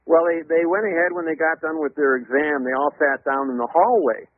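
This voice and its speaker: A man calling in as a radio guest, lecturing emphatically in a loud voice and spelling out words letter by letter. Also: a little high, brisk, no room sound. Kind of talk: radio call-in interview. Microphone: telephone. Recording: tinny phone line.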